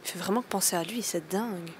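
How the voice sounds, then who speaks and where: A woman's voice: fairly low pitch, fast, slight background noise, lightly acted. A young woman playing a sulky girl on a date, muttering sarcastic asides about him. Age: young adult.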